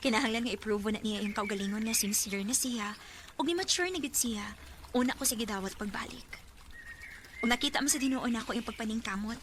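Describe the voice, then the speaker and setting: A woman's voice: fairly high pitch, a little fast, fairly bright tone, close to an everyday voice. A woman playing a hurt, guarded single mother in a radio drama, with a Cebuano Filipino accent. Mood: reflective. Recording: clearly noisy radio drama track.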